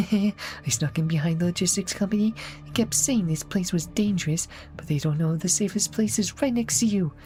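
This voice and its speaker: Woman doing a determined young messenger girl from a fantasy game, soft mellow tone, earnest, bit of music behind. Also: on the low side, moderate pace, hardly any pauses, natural voice. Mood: earnest, confiding. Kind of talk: video game voice acting.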